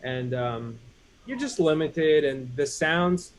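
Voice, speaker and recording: A man's voice: fairly high pitch, slow pace. A man hosting a casual live chat, coaching a guest on music production with lots of fillers. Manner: mentoring, gives advice, many fillers. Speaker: host.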